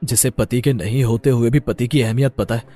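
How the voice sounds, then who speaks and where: A man's voice: mid-range voice, steady tone, a little fast, warm tone, faint music behind, close to an everyday voice. A man playing a stubborn, neglected young husband in a melodramatic story, with an emphatic, aggrieved manner. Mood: defiant. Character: neglected, stubborn young husband Aditya, smitten with another woman. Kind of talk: melodramatic Hindi moral story acting.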